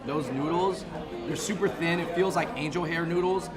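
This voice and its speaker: A man hosting a casual food vlog, chatty and upbeat, slightly hoarse, exclaiming over dishes as he eats in restaurants and on a city street. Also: fairly high pitch, normal speed, over music, crowd noise.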